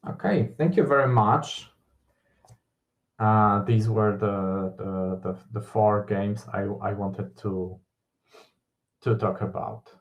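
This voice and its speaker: Slow, hesitant man presenting. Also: lowish voice. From Poland. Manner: hesitant, frequent uh and mm fillers. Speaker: game artist presenting. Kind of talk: conference presentation.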